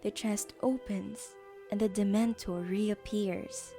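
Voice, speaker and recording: A woman narrator reads a scripted audio drama at an unhurried pace. Her tone is mellow, and faint music plays behind her storytelling. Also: medium pitch, steady tone.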